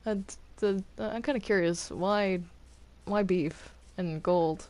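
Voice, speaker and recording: A woman's voice: medium pitch, unhurried, a little mellow, slight background noise. A woman chatting casually while playing a game, hesitant and stammering, often sounding apologetic. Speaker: gamer playing a multiplayer game.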